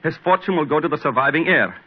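A panicky frightened gentleman. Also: fairly high pitch, animated, fast talker, slightly rough. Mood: anxious. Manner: anxious, breathless, frightened pleading. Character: panicky middle-aged man with a weak heart. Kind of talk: 1940s radio acting. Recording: dull old radio broadcast.

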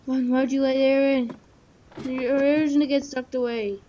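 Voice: flat delivery, moderate pace. A gamer chatting casually in character during a Minecraft roleplay. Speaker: player in a gaming video. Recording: muffled low-grade mic with loud noise.

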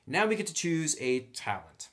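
A man's voice: fairly high pitch, sing-song, moderate pace, a bit bright, soft background music. A man hosting a tabletop game tutorial, explaining casually and thinking aloud as he goes. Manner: thinks aloud while writing on a sheet. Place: indoors at a table.

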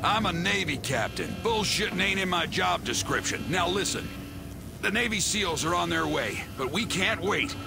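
An actor playing a bossy, self-sacrificing Navy ship captain in a military action game, with a gruff, salty, commanding delivery. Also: male voice, sits high, animated, normal speed. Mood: grim, urgent. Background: loud music, gunfire.

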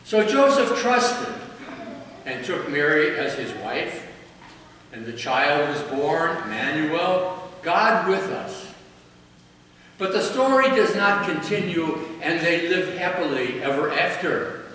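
Older priest preaching slowly, high voice. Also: male voice, big pitch swings, fairly bright tone, a little hiss or hum. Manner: slow, measured preaching with dramatic pauses. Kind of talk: sermon. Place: large church. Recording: echoey church from far off.

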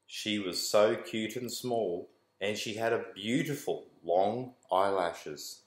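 A man's voice: lowish voice, takes it slowly. A man in his forties reads a rhyming picture book aloud to children in a sing-song, rhythmic way. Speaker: school staff member reading aloud to children. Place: small indoor room with bare walls. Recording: roomy indoor echo.

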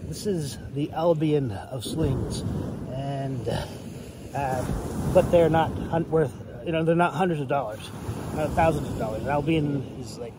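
A man's voice: a little high, measured pace. A man in his thirties giving a casual show-and-tell in a hesitant, rambling way. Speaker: writer and hobbyist reviewer. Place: outdoors on a beach shore. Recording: outdoor wind and water noise.

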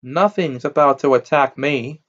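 A man's voice: medium pitch, a little slow, lightly acted. A man voicing a pompous, stern teenage prefect who talks stiffly and indignantly. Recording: dull lo-fi recording.

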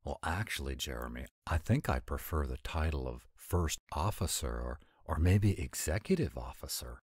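A man's voice: very deep voice, expressive, normal speed, darkish tone, husky, dry recording, studio quality, exaggerated voice. A man voicing a laid-back, eager werewolf sidekick who drawls in dude slang for an audio roleplay. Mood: hesitant, earnest.

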